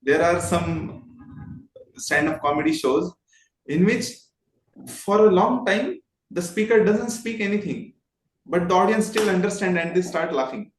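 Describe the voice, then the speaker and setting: A man's voice: high-pitched, lively intonation, a little slow. A man with an Indian accent explaining things in an interview, politely and expressively, with illustrative examples. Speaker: guest, voice modulation trainer. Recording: roomy, echoing sound.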